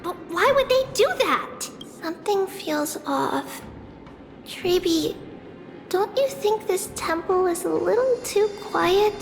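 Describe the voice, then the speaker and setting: A woman's voice: very high-pitched, expressive, slow pace, gravelly, heavily acted. A woman voicing a playful, curious little girl with a small childlike voice in a video game. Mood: puzzled, pensive. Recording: long reverb, loud music behind.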